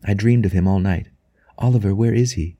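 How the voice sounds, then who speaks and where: A man voicing a devout, tender young wife in an audiobook, speaking softly and reverently. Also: fairly low pitch, a little fast, dry recording. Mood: rapt devotion. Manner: soft, quiet, reverent. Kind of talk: audiobook character dialogue.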